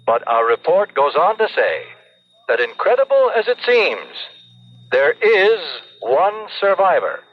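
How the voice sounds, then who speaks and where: A formal newsreader delivers bulletins in clipped vintage radio style. His voice is fairly high and swings in pitch. It comes through a narrow radio filter with faint noise. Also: very animated, a little slow, heavily acted. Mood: grave. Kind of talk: old radio news bulletin acting. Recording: tinny, noisy radio bulletin sound.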